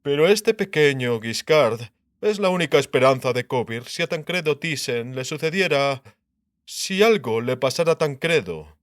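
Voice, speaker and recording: Shrewd monarch for audiobook, male narrator in character, deliberate and authoritative, dry recording. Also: medium pitch, animated, no room sound, natural voice. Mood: emphatic, proud. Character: shrewd, commanding male king speaking confidentially.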